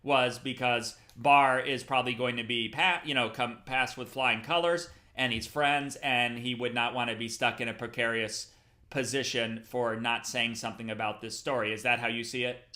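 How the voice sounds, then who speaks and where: A man's voice: medium pitch, fairly even intonation, normal speed, sharp tone. A man hosting a political talk show, earnest and asking pointed questions. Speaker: host.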